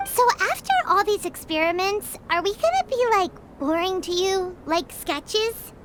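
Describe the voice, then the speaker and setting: A woman voicing a tiny floating female fairy companion in a video game, eager and inquisitive, asking quick questions. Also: very high-pitched, lively intonation, noisy background. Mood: curious. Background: game music.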